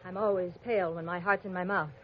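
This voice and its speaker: An actress playing a mysterious young woman in trouble, brisk and curt, in a 1940s radio drama. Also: lowish voice, steady tone, close to an everyday voice. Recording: muffled old radio broadcast.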